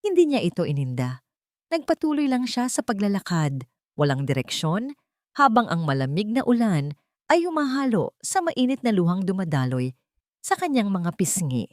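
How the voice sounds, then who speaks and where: A woman narrating a scripted dramatic story in a solemn, emotive, measured way. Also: medium pitch, sing-song, a little mellow, no room sound, clean studio sound.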